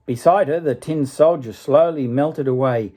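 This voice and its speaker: An older man reads a children's storybook aloud with dramatic expression, chatting to the listener about it. His voice is husky and a bit high for a man. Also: moderate pace, dark tone. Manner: dramatic, with asides to the young listener. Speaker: storybook reader.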